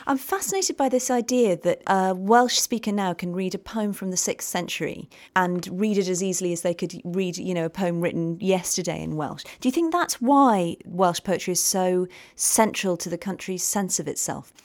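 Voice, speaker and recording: A woman's voice: fairly low pitch, moderate pace, few pauses, a little mellow. A woman radio interviewer asking probing questions and murmuring agreement as her guest talks.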